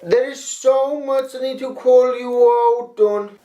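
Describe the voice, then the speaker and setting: High-pitched, slow man lecturing bluntly. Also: steady tone, warm tone, roomy sound. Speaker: video critic. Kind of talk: reading a prepared response video.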